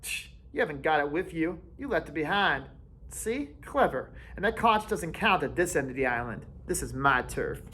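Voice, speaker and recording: A man's voice: fairly high pitch, big pitch swings, moderate pace, bright, forceful tone, a little hiss or hum. A man voicing a defiant, bossy boy who leads a tribe, talking in a taunting, commanding way.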